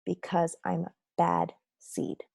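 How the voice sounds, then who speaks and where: A woman voicing a grumpy, remorseful sunflower seed telling his own story in a children's picture book read-aloud, in a dramatic, deliberate, confessional manner. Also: lowish voice, completely flat delivery, vocal fry, a little mellow, husky, dry recording, clean studio sound, heavily acted. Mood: sly confession.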